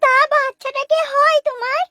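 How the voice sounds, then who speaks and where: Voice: extremely high pitch, fairly even intonation, normal speed, sharp tone, slight background noise. An actor voicing a bold young housewife, complaining and coy, in a comic cartoon dub. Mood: coy, teasing.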